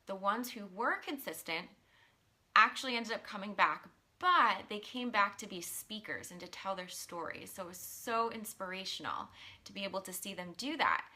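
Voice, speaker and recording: Woman in her 30s, live-stream coach, bright tone, slightly hoarse, earnest chatty delivery with ums. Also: mid-range voice, normal speed. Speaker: mindset and business coach. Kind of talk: casual live-stream talk, reading viewer comments.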